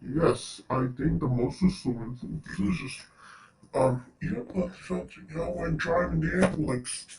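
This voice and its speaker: A slow-talking, creaky-voiced man playing a hesitant guy in a homemade disaster cartoon. He sounds set back from the microphone, with an ordinary mid-range pitch. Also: a little mellow, distant mic, low-quality recording. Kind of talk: amateur animated skit dialogue.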